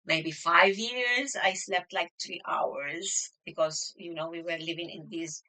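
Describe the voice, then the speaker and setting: A woman's voice: on the low side, steady tone, measured pace, bright, forceful tone, poor audio. A woman in her sixties, raised in Lebanon, telling her life story as an interview guest, with restarts and fillers. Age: 60s. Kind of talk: conversational interview.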